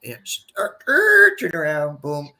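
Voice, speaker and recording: A man's voice: fairly high pitch, huge pitch swings, measured pace, bright, forceful tone. A man co-hosting a casual live stream, rambling through stories and repeating his words as he starts sentences.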